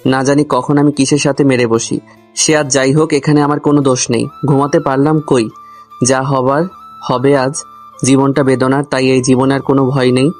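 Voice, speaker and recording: A man's voice: medium pitch, flat delivery, normal speed, darkish tone. A man narrating a comic love story in the first person, grumbling and talking straight to the listener. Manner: grumbling, addresses the listener directly. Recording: music under the voice. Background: background music.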